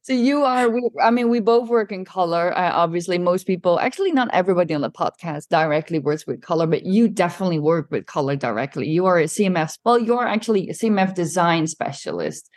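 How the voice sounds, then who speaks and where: A woman hosting a podcast interview, reading a measured introduction and then asking relaxed questions. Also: fairly low pitch. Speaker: podcast host. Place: Milan studio or home.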